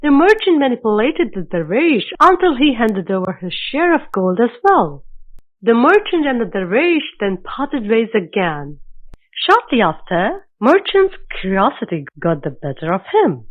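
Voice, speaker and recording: A woman's voice: a little high, big pitch swings, measured pace. A woman narrating a scripted children's moral story as the storyteller. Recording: narrow, phone-like lo-fi sound.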